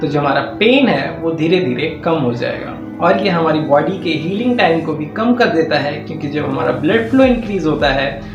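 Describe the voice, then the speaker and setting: A man's voice: fairly high pitch, lively intonation, normal speed, hardly any pauses, dull audio. Indian man teaching, echoey with background music. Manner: informal, step-by-step explaining with English technical terms mixed in. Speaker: physiotherapy teacher and channel host. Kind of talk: explanatory lecture narration. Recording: echoey room sound. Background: clear background music.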